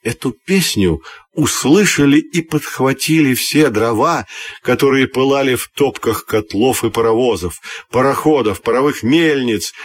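A man narrating a scripted fairy tale for children's radio, telling it expressively and deliberately. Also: medium pitch. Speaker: storyteller.

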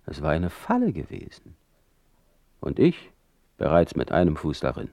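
Proud brooding poet, radio drama, low creaky voice, ironic and theatrical. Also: male voice, huge pitch swings, normal speed, dark tone, dry recording, studio quality, full character voice. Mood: suspicious, brooding. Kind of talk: German radio play acting, letter monologue.

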